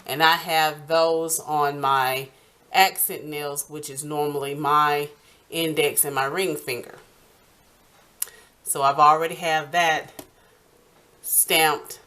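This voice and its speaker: A woman hosting a nail art tutorial in casual, step-by-step talk, halting and pausing mid-sentence. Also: sits low, pushed and bright.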